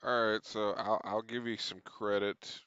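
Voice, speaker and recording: A man's voice: on the low side, flat delivery, a little slow, fairly bright tone, lo-fi sound, a little hiss or hum. A man hosting a reaction video, commenting casually and bluntly, with some swearing.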